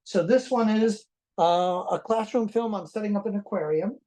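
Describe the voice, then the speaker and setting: An excitable person presenting film finds in a casual unboxing chat, with joking asides and exclamations. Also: medium pitch, moderate pace, poor audio.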